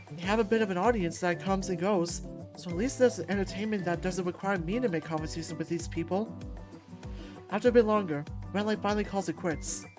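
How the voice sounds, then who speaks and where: A woman reading a visual novel aloud as expressive first-person narration. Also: mid-range voice. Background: music.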